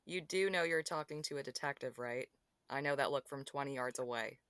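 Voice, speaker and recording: A woman playing a cool, sharp-eyed female police detective with a guarded, curt manner. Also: very deep voice, brisk, no echo. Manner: crisp, guarded and dismissive. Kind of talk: amateur audio drama acting.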